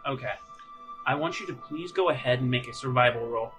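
A man narrates a tabletop roleplaying game as its game master. His voice sits at a middle pitch, and he builds descriptions haltingly as he goes. Music plays clearly behind him. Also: a bit bright.

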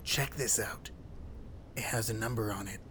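A man playing a gruff, terse, threatening ex-detective in an audio drama. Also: fairly low pitch, moderate pace, noisy background, close to an everyday voice. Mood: brisk, matter-of-fact. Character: gruff, threatening, hard-boiled male ex-detective.

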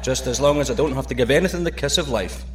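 An actor playing a joking British astronaut, bantering in a sci-fi audio drama. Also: male voice, mid-range voice, fast talker, lightly acted. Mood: dry, joking. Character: wisecracking, nervy male British astronaut.

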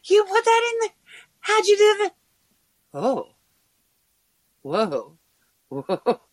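A woman's voice: very high voice, wildly swooping pitch, very slow, no echo, clean studio sound, heavily acted. An actress playing a sarcastic, hungover young woman with a casual, exclamatory manner in a naturalistic audio drama. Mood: amazed.

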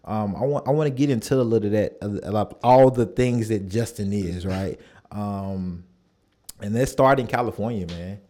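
A man in his thirties hosting a podcast interview, relaxed and affirming, with plenty of fillers. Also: lowish voice, moderate pace. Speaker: podcast host. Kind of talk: casual conversational interview.

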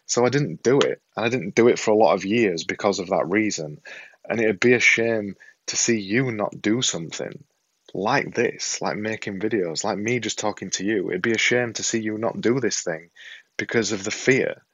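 A man's voice: fairly low pitch, moderate pace, a bit bright, slightly rough, no room sound, highs cut off. A man with a Yorkshire accent, talking to camera at home in a casual, earnest, self-deprecating way, often repeating himself for emphasis. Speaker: vlogger and podcaster. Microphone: desktop setup with a camera and a monitor.

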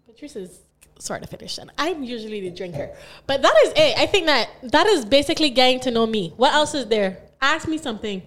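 A woman's voice: high voice, lively intonation, a little fast, a bit bright. A young woman from Barbados with a Bajan accent, hosting a casual, playful podcast chat with a friend and rambling between giggles. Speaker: podcast host.

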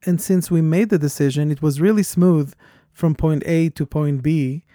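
A man with a foreign accent co-hosting a podcast, talking in an earnest and reflective way that turns animated when he tells a story. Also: on the high side, normal speed, no room sound, studio quality. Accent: foreign accent, origin unstated. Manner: earnest, thoughtful, animated when telling stories. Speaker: podcast co-host. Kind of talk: reflective conversation.

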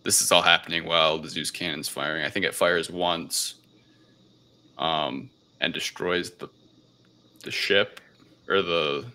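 Deep, bright-voiced man chatting casually. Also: fairly even intonation, unhurried, pauses often. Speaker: podcast host. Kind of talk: casual film recap discussion.

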